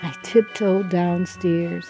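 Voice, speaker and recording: A woman with a lowish, mellow voice reads a children's story aloud. Her delivery is unhurried and full of rises and falls, with dramatic pauses. Music plays behind her. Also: fairly even intonation. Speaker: children's book reader. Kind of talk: expressive read-aloud storytelling.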